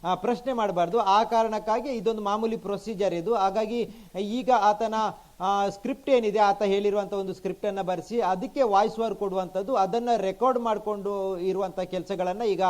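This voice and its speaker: Karnataka man, high voice, field reporting. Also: steady tone, moderate pace. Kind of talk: unscripted live news report.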